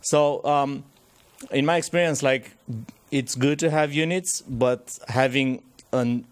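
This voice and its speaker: Hoarse man asking a challenging question. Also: mid-range voice, steady tone. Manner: halting, many fillers and restarts, challenging. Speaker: audience member asking a question. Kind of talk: conference Q&A.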